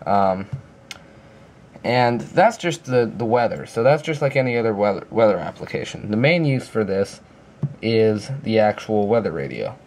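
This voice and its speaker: Low-voiced man giving a casual walkthrough. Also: animated, moderate pace, darkish tone, slight background noise. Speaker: app reviewer. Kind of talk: casual app review walkthrough.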